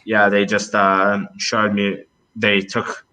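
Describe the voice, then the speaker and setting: Low, bright-voiced young man, hesitant. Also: completely flat delivery, slow pace. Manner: hesitant, many fillers and restarts. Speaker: podcast guest, travel video maker. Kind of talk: casual conversation.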